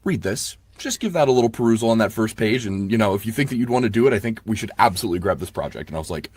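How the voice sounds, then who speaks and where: A man chatting casually as an interview guest, gushing with animated enthusiasm. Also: lowish voice, rapid-fire, slightly rough, no room sound. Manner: animated, gushing, quotes himself. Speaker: audiobook narrator, interview guest.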